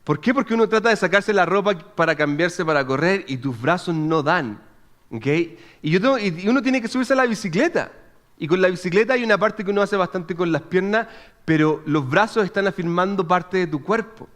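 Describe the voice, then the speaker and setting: A Chilean man in his thirties preaches with a lively, chatty delivery. His voice sits high for a man, and he keeps checking in with his listeners. He tells a personal story in a conversational way. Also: brisk. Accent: Chilean Spanish. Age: 30s. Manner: chatty and animated, frequent restarts and "¿ok?" check-ins. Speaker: pastor.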